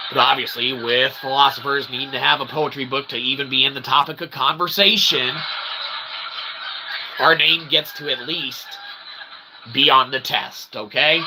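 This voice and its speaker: A man's voice: on the high side, background noise. A man hosting a livestream in a rambling monologue, wordy and mock-formal, repeating himself emphatically with tag questions. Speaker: livestream host and self-published author.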